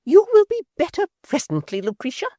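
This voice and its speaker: A woman doing a shrill, haughty lady. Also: huge pitch swings, a little mellow, no room sound, clean studio sound, heavily acted. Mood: scornful. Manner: shrill, theatrical, slow emphatic scorn. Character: haughty indignant middle-aged lady scolding her friend. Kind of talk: audiobook character voice.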